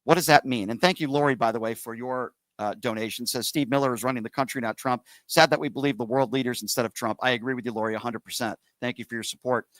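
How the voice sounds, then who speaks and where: A man's voice: mid-range voice, steady tone, quick pace, few pauses, a bit bright, no echo. A man hosting a political call-in radio show, combative and sarcastic, cutting across callers.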